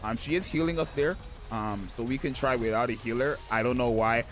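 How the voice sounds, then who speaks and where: A man with a slightly raspy voice, just out of bed, hosting casual gameplay commentary and rambling with frequent "right?" checks. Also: medium pitch, a little fast. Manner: rambling, keeps asking "right?". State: just woke up. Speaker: gaming video host.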